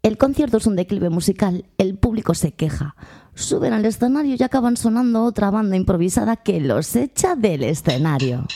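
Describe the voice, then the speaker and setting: A woman's voice: mid-range voice, lively intonation, normal speed, dark tone. A woman giving scripted narration in terse, clipped statements.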